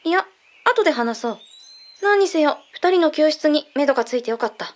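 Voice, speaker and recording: Woman acting measured, high-voiced intelligence briefer. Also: expressive. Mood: composed, explanatory. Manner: measured, matter-of-fact, blunt masculine phrasing. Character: calm intelligence colleague briefing secret findings. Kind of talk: audio drama dialogue.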